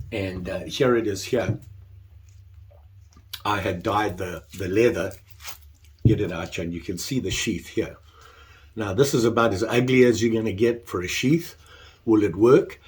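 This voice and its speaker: Congested older man, self-mocking. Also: on the low side. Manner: self-deprecating, rambling with restarts. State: congested, getting over the flu. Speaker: leatherwork vlogger. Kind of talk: casual workshop chat.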